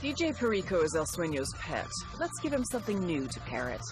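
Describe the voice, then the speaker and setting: An actress playing a wry, sardonic intelligence briefer who narrates in a conversational, profane way in a video game. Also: female voice, lowish voice, very animated, a little fast. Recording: music behind.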